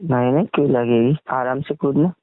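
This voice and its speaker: A man's voice: mid-range voice, a little fast, no echo. A young man talks in a casual, intimate way, as a boyfriend does on a call. His manner is playful and teasing. The sound is narrow and phone-like, very dry, with no room around it. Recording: muffled, band-limited phone call recording.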